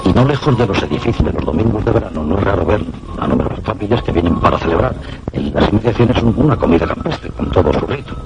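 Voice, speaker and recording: A man's voice: sits low, expressive, quick pace, very noisy. An actor narrating as a male meteorologist in a suspense radio drama, grave and ominous. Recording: radio drama with loud music bed.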